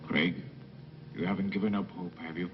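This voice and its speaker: An actor playing an earnest, measured astronaut in a dubbed old science fiction film. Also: male voice, deep voice, steady tone, over music, close to an everyday voice. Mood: earnest, subdued. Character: earnest male astronaut on a Mars mission. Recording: echoey, muffled old film soundtrack.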